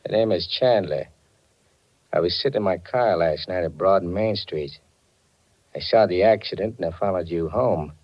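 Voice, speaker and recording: A hard-boiled crook from a 1940s radio thriller. His voice sits low and he talks in curt, slangy, bullying phrases. The recording is dull and band-limited. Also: moderate pace. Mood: sly, threatening. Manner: curt, tough-talking, slangy. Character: menacing male blackmailer and thug on the run.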